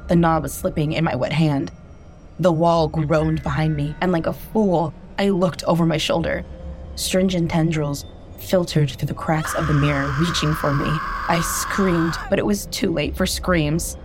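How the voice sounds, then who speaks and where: A woman's voice: sits low, fairly even intonation, measured pace, background noise. A woman narrating a first-person horror story in a tense, intimate way.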